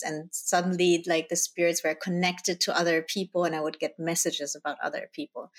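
A middle-aged woman from Germany telling a personal story in a conversational way as an interview guest. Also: on the low side. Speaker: guest, spirit guide channeler.

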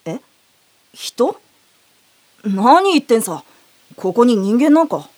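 A woman's voice: fairly high pitch, big pitch swings, takes it slowly, a little mellow, heavily acted. A woman voicing a wary, curious yokai child in a Japanese audio drama, asking blunt, naive questions. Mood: incredulous.

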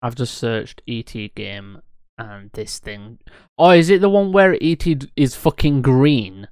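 A man's voice: mid-range voice, lively intonation, a little slow, a little mellow, clear, resonant tone, no room sound, clean studio sound. A man co-hosting a podcast in casual chat, blunt and sweary, given to exclaiming. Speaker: podcast co-host.

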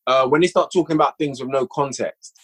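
A man speaking as a guest on a casual podcast, his voice slightly husky and sitting in a middle register. He rambles loosely and leans heavily on filler words. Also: mid-range voice, fairly quick, fairly bright tone. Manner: rambling, peppered with "like". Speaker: podcast guest, audio drama creator. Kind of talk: casual chat.

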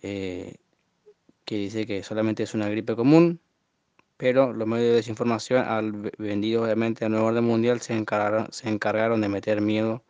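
A man in his early twenties with a northern Argentine accent, speaking off the cuff in an earnest, indignant, halting monologue. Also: steady tone, darkish tone, smooth, no room sound. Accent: northern Argentine Spanish. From Formosa, Argentina. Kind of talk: unscripted monologue to camera. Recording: muffled, dull low-fidelity audio.

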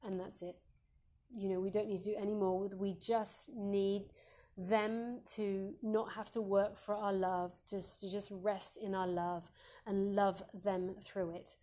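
Woman host, Montessori educator: mellow tone, croaky voice, gentle and reassuring, lots of ums. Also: mid-range voice, level tone, unhurried. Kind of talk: live parenting advice Q&A.

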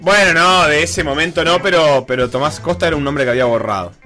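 A man's voice: fairly high pitch, quick pace, bright, forceful tone, soft background music. A man hosting a football chat, steering the talk and teasing his co-host. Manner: teasing, leads the conversation. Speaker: sports show host.

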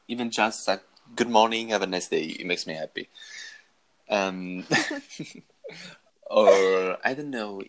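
A young man with a very low voice answers questions in a relaxed chat. He talks haltingly, restarting and pausing often, and breaks into laughs. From Italy. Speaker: guest. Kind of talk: casual Q&A chat.

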